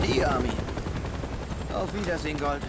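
A man's voice: fairly high pitch, normal speed, very noisy, natural voice. A man voicing a brash, cocky, dim young soldier hungry for gold in a German-dubbed video game cutscene. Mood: flippant. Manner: brash, slangy, flippant.

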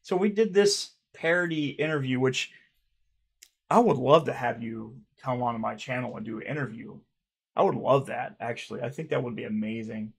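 A man in his thirties reading fan comments aloud on his channel, rambling and swearing, with a stutter he mentions himself, recorded by a webcam in a dark room at home. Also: medium pitch, normal speed, creaky, breathy edge. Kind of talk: casual reaction commentary.

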